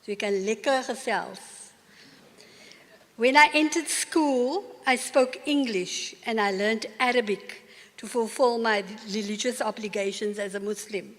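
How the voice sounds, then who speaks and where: An older woman with a South African accent giving a formal acceptance speech in a large university hall, measured and earnest. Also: fairly high pitch. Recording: long reverb of a large hall.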